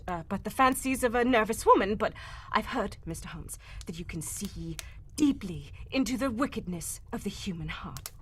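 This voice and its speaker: A woman playing a nervous, desperate young lady in an old-time radio play, halting and formal in her speech. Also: mid-range voice, a little hiss or hum, natural voice. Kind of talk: cold-read live old-time radio drama acting.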